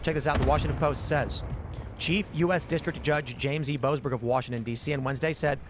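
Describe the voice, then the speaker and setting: A man's voice: medium pitch, fairly even intonation, a little hiss or hum. A man hosting a casual political talk show, reading news aloud and often restarting his sentences. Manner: halting, many restarts. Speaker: podcast host.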